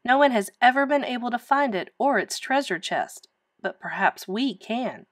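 A woman narrator plays a librarian in a children's storybook. She is helpful and excitable, exclaiming her lines in a raised, lively voice, captured dry. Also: on the high side, moderate pace, dry recording, voice pushed up. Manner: animated, exclaiming.

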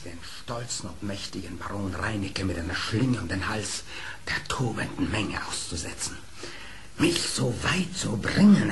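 An actor playing a proud, cunning, vengeful fox baron in an audio play, with a haughty theatrical delivery. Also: male voice, medium pitch. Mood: brooding, offended. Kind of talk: German audio play acting.

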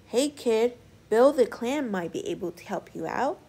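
Giant storybook octopus, casual, helpful, encouraging, fairly high pitch woman reading the part. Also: lively intonation, a little slow, mellow, breathy edge, exaggerated voice.

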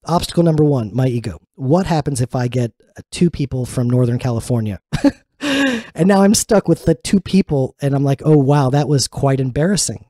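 A man speaks as a religious teacher, sharing personal stories in an informal, inspirational talk. His voice is mellow and sits at a middle pitch, captured with very little room sound. He sounds earnest and conversational, leaning into key words. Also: expressive, brisk, no room sound, clean studio sound. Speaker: religious teacher and fellowship leader.

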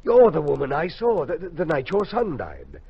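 A gravelly, bewildered army colonel. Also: male voice, fairly high pitch, wildly swooping pitch, heavily acted. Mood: astonished. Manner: halting, repeats words in astonishment. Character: shaken British army colonel, bewildered and courteous. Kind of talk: 1950s radio drama acting. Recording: dull 1950s radio recording.

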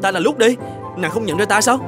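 Young cartoon hero, male actor: high, brisk, theatrical, blunt yet kind, over music. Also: natural voice. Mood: excited. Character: poor, blunt but kind young man in fairy tale. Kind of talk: cartoon fairy-tale dubbing. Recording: music behind the voice.

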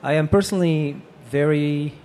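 A man's voice: a little high, normal speed. Guy on a panel stage, handheld mic, easygoing with lots of ums, faint hall noise. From Tunisia. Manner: relaxed, conversational with many fillers. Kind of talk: conference panel talk. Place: large expo hall stage.

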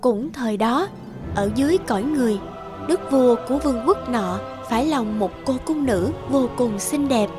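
Female narrator for animated fairy tale, fairly high voice, music underneath. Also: moderate pace.

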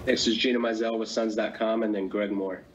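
A man moderating a press conference, calling on reporters one after another in a brisk, businesslike way. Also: fairly low pitch, level tone, background noise.

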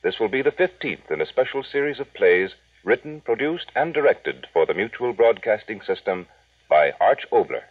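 Male 1940s network announcer, animated, husky read of scripted credits, thin vintage sound. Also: on the low side, moderate pace, no echo. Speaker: radio announcer. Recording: distant, phone-like vintage radio broadcast.

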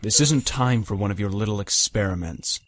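A man playing a gruff, curt yet protective military captain in an audio drama, blunt and deadpan. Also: fairly low pitch, moderate pace, close to an everyday voice. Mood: stern, irritated. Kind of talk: amateur audio drama acting. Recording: loud dramatic music under voice.

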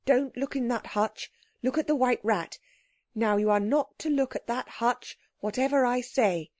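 A woman's voice: on the high side, a little slow, darkish tone, no room sound, studio quality, pitched above the performer's own voice. A woman voicing an earnest young boy who gives urgent, insistent commands.

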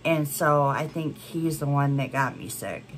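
A woman's voice: low-pitched, a little slow, slight background noise, low-quality recording. A woman in her thirties chatting to camera as a beauty vlogger, congested from a cold, rambling with many fillers. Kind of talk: casual chat to camera. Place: home room with a plant wall and neon signs.

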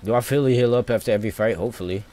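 A man's voice: medium pitch, very fast, warm tone. A man giving casual live gameplay commentary as a streamer, thinking aloud in informal, slangy talk. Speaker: video game streamer.

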